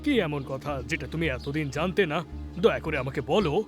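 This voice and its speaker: A man voicing a timid young man in a children's animated story, speaking in an earnest, questioning way. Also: on the high side, moderate pace, over music, close to an everyday voice. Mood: curious. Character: timid young man, fearful, easily impressed friend.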